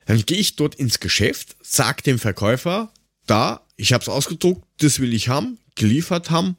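A man's voice: mid-range voice, dry recording. Austrian man chatting casually, close dry sound. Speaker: podcast co-host. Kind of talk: casual chat with anecdotes.

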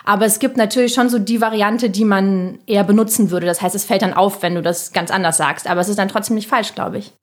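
A woman from Russia, a comedian guest on a podcast, talking quickly and a lot, often laughing. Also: medium pitch, steady tone. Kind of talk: casual interview chat.

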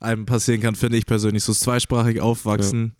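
Fast-talking low-voiced young male co-host. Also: little pitch movement, a little mellow, no room sound, clean studio sound. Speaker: podcast co-host. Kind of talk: casual podcast chat.

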